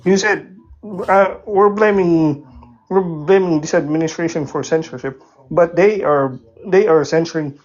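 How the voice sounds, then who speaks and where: Slow, halting woman on muffled voice chat. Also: on the low side, a little mellow. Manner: halting, full of fillers and restarts. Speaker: caller in an online voice chat debate. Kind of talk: political argument. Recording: dull lo-fi voice chat audio.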